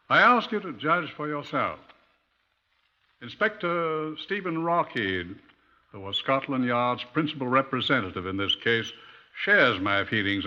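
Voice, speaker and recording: A British man playing a solemn, sentimental police chief superintendent in a 1950s radio drama, with deliberate, formal phrasing. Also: mid-range voice, natural voice. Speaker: actor presenting a radio crime drama. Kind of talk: 1950s radio acting, storytelling introduction. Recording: dull, muffled 1950s radio recording.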